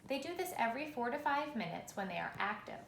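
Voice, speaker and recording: A woman reading a children's picture book aloud in a measured, expressive storytelling manner, with pauses for effect. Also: on the high side, creaky. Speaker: reader. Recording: roomy echo, faint background noise.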